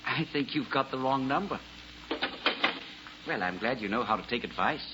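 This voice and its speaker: A man's voice: medium pitch, expressive, normal speed, close to an everyday voice. An actor playing a sneering, gleefully threatening gunman henchman in a 1940s radio thriller. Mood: smug threat. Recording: muffled, heavy-noise vintage radio recording.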